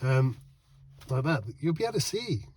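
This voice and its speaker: A man's voice: fairly high pitch, moderate pace, slight background noise. A man in casual vlog chat outside at night, testing van lights, exclaiming and repeating himself as he talks. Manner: excitable, exclaims and laughs, repeats words.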